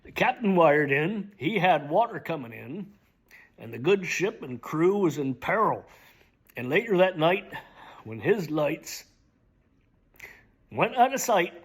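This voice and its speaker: A middle-aged man giving a slow, theatrical reading of lyrics, often dropping to a hushed whisper. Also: on the high side, very animated, husky. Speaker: dramatic reader. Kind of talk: dramatic spoken reading of song lyrics.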